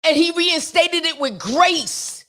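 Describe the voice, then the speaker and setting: A woman with a raspy voice gives a fervent, preachy testimony to her livestream viewers, talking straight to them and repeating her points for emphasis. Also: very high voice, lively intonation, slow, fairly bright tone. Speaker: singer sharing a testimony with viewers. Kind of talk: preachy motivational livestream talk.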